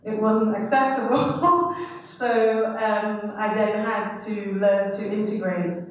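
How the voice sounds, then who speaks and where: A woman's voice: mid-range voice, takes it slowly, slight background noise. A woman from Trinidad with a Trinidadian accent, speaking as a panellist in a reflective, conversational way with fillers. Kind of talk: panel discussion. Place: university hall. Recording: echoey hall, speaker far from mic.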